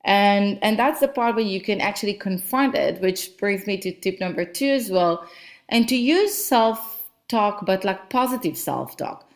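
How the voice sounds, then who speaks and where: A woman's voice: medium pitch, animated, measured pace. Chatty woman giving motivational advice. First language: not English. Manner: casual, chatty, many fillers like "like" and "right?". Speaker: podcast host and business coach. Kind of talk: solo motivational talk. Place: lives in Cape Town.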